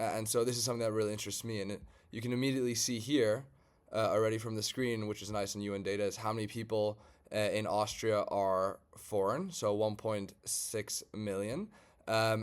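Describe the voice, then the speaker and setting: A man from Germany talks through a data query as he clicks along his screen. His voice sits fairly low and sounds smooth and clear. He keeps the tone loose and chatty, with many small "uh"s along the way. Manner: relaxed, conversational, frequent "um" and "uh" fillers. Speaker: data scientist. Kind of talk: screen-share tutorial walkthrough.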